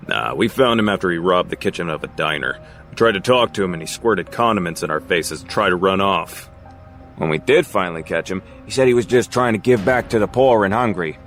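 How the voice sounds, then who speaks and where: An actor playing a jaded, grumbling police officer in an audio drama, weary and sarcastic. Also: male voice, deep voice, lively intonation, brisk, a bit bright, faint music behind. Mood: exasperated.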